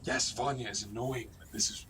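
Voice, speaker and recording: A man's voice: medium pitch, fairly even intonation, a little fast, fairly bright tone, a little hiss or hum, natural voice. A man playing a tense, defensive, intense physician in a science fiction radio drama. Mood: agitated, self-questioning. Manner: intense, easily irritated. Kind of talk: sci-fi radio drama acting. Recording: slightly distant, music behind. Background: music.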